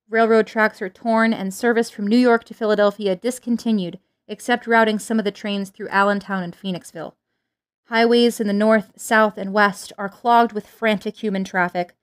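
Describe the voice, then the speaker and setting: A woman playing a grave radio news announcer in a drama, reading urgent bulletins in a formal, measured way. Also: medium pitch, clean tone, close to an everyday voice. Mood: grave, solemn. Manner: formal, measured, serious delivery.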